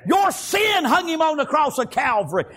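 A man preaching a sermon, his voice riding very high and swinging widely in pitch. He shouts in rhythmic bursts, punctuating nearly every phrase with "praise God" and "amen.". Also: big pitch swings, normal speed.

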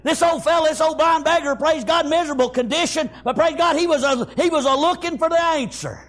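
A man with a Southern US accent preaching an impassioned revivalist sermon, exclaiming praise and often shouting, in stop-and-go phrases full of repeated interjections. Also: very high voice, brisk.

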